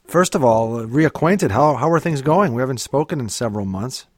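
Halting male interviewer, dry sound. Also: medium pitch, expressive, no room sound. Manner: halting, fumbling questions. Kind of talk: casual interview.

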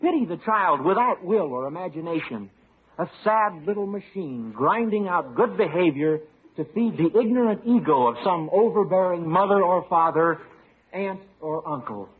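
Through a tinny, rough old radio sound, an enthusiastic young father. His voice is high for a man and bounces around with naive, bookish eagerness. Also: animated, moderate pace. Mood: earnest, enthusiastic. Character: eager, earnest young husband and new father. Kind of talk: 1940s-50s radio sitcom acting.

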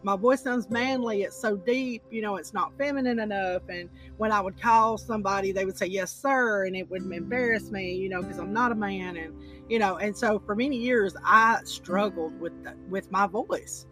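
Woman podcast host, raspy and deep-voiced, chatty and rambling. Music bed behind her. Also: normal speed, a bit bright. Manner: rambling, repeats words, many "you know"s. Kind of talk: casual interview chat.

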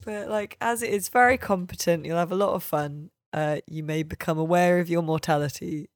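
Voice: medium pitch, fairly quick, dry recording. A podcast host, chatting casually and opinionatedly about games in an informal, sweary way.

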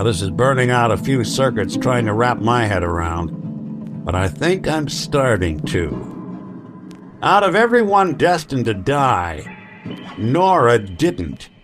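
A man playing a weary android private detective in an old-time radio drama, earnest and hard-boiled as he reasons aloud. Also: mid-range voice.

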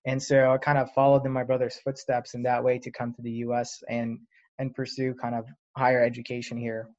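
A man from Lebanon, a startup founder, answering interview questions in an unhurried, reflective way with frequent fillers. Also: mid-range voice, completely flat delivery, a little mellow. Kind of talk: casual podcast interview. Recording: dull lo-fi audio.

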